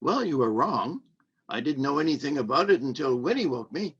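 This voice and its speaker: Man playing a husky, grumbling secretive husband. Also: a little high, sing-song, fairly quick, fairly bright tone, exaggerated voice. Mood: grumbling, guarded. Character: curt, secretive, grumbling husband hiding sabotage. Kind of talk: live radio play acting. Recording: muffled lo-fi streamed audio.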